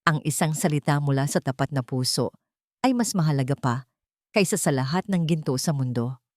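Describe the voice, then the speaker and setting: Woman narrator for dramatic audio stories: low register, sentimental measured phrasing, very dry sound. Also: lively intonation, a little mellow, no room sound. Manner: deliberate, sentimental delivery in short phrases. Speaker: storyteller and channel host.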